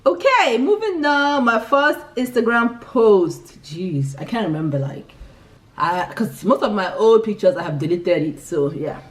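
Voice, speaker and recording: A woman's voice: medium pitch, sing-song, unhurried. A young woman with a Nigerian accent chats casually and laughs easily, restarting her sentences and filling the gaps with "yeah". Her voice sits in the middle of the range for a woman. The camera picks her up from a little way off, so she sounds slightly distant. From Nigeria, Imo State. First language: Igbo. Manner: chatty, giggly, lots of restarts and "yeah". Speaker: vlogger. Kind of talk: casual chat answering a tag list.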